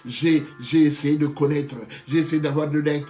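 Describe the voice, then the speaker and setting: A man's voice: fairly high pitch, level tone, normal speed, dark tone. A man preaching a sermon with passion, often stopping to restart and repeat phrases and calling his listeners beloved. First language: not Hebrew. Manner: keeps addressing listeners as beloved.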